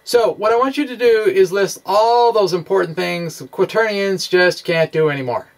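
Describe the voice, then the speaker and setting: A man presenting an informal science lecture in a halting, self-deprecating way, as if thinking aloud. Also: sits high, poor audio. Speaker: presenter of a physics video blog.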